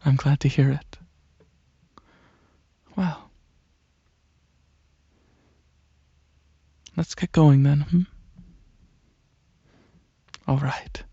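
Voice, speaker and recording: A soft, gravelly dragon alien prince played by a man speaks in an ASMR roleplay, slowly and hesitantly. His delivery is gentle and coaxing, with long pauses. The close recording is dry and slightly muffled. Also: a little high, steady tone, dry recording, heavily acted. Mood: pleased, reassuring. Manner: hesitant, unhurried, soothing, with frequent pauses. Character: gentle dragon alien prince, centuries-old royal researcher, softly coaxing. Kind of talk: ASMR roleplay, soft-spoken monologue to the listener.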